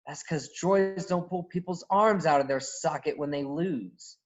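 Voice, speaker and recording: A man's voice: fairly high pitch, measured pace, lo-fi sound, close to an everyday voice. A man playing a cocky, wry space smuggler pilot, brash and sardonic, in a scripted reading. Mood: wry warning. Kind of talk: scripted table read acting.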